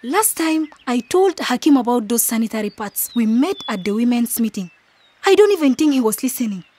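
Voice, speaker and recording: A woman playing a timid, dreamy young village wife in a radio drama, earnest and expressive. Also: on the high side, a little fast, a little hiss or hum. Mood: timid, eager. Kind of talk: radio drama acting and first-person narration.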